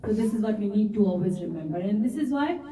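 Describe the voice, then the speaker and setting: Middle-aged woman, native Urdu speaker, earnest community talk, mellow tone, echoey hall, distant mic. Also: medium pitch, animated, fast, slight background noise. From Canada resident. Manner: earnest, often adds "right?". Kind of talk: speech to a women's gathering.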